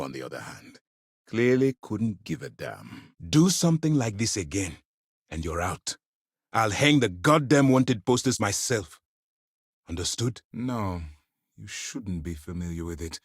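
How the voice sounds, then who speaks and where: An actor playing a stern, disciplined male naval commander in a video game, speaking in a measured, formal, commanding way. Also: lowish voice, husky, no room sound, studio quality, heavily acted. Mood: bitter, stern. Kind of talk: dramatic video game dialogue.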